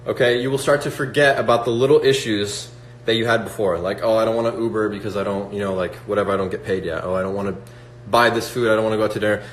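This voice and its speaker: Male, 20s, livestream host, slightly low voice, chatty motivational delivery, roomy sound. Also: steady tone, fast. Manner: motivational, reads out chat questions, many fillers like "like" and "right?". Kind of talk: casual livestream chat with viewers. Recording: echoey room with faint hum.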